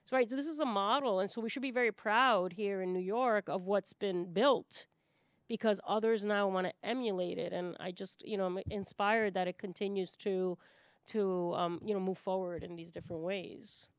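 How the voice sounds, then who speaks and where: A woman's voice: medium pitch, steady tone, moderate pace, no room sound, studio quality. A woman from Puerto Rico speaking emphatically and off the cuff in a panel discussion, often checking in with a tag question. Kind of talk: panel discussion, impassioned unscripted talk.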